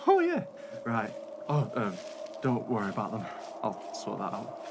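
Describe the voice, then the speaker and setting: An actor playing a calculating, glib hotel manager who is secretly a werewolf, in a radio play. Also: male voice, lowish voice, wildly swooping pitch, measured pace, exaggerated voice. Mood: glib, reassuring. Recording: noisy recording with loud background ambience.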